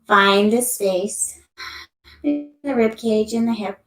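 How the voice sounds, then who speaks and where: Slow-paced woman guiding meditation, echoey room. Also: on the high side. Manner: gentle, unhurried, soothing instruction. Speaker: meditation teacher. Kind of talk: guided yoga nidra meditation. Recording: echoey room, amateur sound quality.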